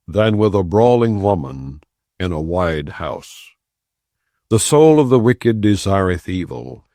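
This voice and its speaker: A man reading scripture as an audiobook narrator, in a measured, solemn, non-dramatized style. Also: fairly low pitch, vocal fry, a little mellow, no room sound, clean studio sound.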